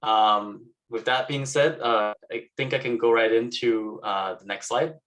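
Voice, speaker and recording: A young man from Malaysia gives a casual talk over slides on a video call, halting often with fillers. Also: medium pitch, fairly bright tone. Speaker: alumnus speaker.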